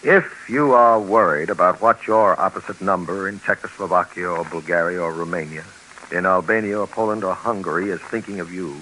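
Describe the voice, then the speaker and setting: A male narrator from a 1950s radio documentary. His lowish, bright voice is formal and declamatory, on an old recording with faint noise. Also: poor audio. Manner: dramatic, declamatory.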